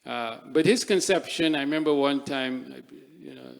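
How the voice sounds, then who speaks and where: An older man from Trinidad giving a reflective conference talk, pausing often on fillers and restarting his sentences. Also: medium pitch, creaky. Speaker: panel speaker. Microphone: microphone at a live event.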